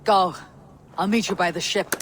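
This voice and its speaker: A woman's voice: lowish voice, fairly even intonation, normal speed, background noise, close to an everyday voice. An actress playing a bold, vengeful Viking warrior woman in a video game, speaking in terse, commanding statements. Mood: goading, confident. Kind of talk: dramatic game dialogue.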